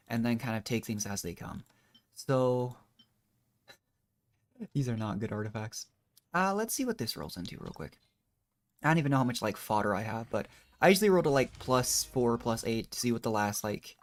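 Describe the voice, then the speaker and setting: A man doing casual, rambling gameplay commentary as a streamer, thinking aloud in a modest, unsure way. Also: mid-range voice. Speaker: video game streamer.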